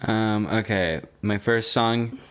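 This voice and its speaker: A man's voice: fairly low pitch, takes it slowly, fairly bright tone, clear, resonant tone. A man with an American accent chatting casually as a comedic podcast co-host, emphatic, slangy and sweary.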